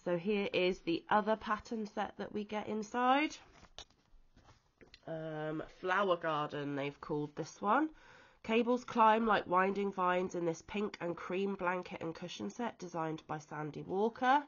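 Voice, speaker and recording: A woman's voice: fairly low pitch, lo-fi sound. Wheezy, under-the-weather British woman. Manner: chatty, pauses with frequent "um". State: ill, wheezy with a chesty cough. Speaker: crochet vlogger. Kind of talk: casual show-and-tell chat. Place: at home, off camera.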